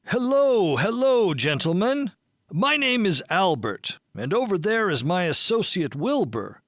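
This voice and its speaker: Man as Albert, high-pitched curious traveler. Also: big pitch swings, unhurried, fairly bright tone, no echo, clean studio sound, exaggerated voice. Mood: eager, polite. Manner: courteous, inquisitive. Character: Albert, polite, curious, eager young male traveler. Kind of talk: audiobook character voice.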